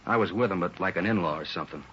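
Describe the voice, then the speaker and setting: A man's voice: low voice, rapid-fire, fairly bright tone. A man acting a weary, defensive robbery suspect in a 1950s radio drama, with blunt, slangy street talk. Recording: dull, hissy old radio recording.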